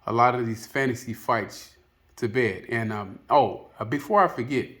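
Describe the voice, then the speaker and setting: A man's voice: mid-range voice, moderate pace, a bit bright. A man giving casual, unscripted opinion commentary as a video host, rambling and hesitant, often padding with filler phrases. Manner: hesitant, rambling, peppered with "you know".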